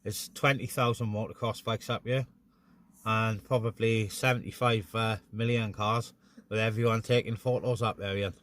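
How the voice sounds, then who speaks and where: Bright-voiced chatty man outdoors, noisy background. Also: lowish voice, steady tone, normal speed. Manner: chatty, matey, joking exaggeration. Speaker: vlogger and CB radio hobbyist. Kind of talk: casual radio chat while filming outdoors. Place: outdoors on a busy mountain top. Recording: clear vehicle and outdoor noise.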